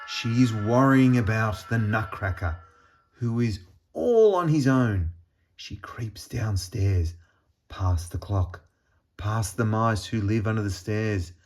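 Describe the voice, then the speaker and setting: A man reading a children's storybook aloud in an expressive, deliberate storytelling manner with pauses. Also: lowish voice. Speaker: storybook reader.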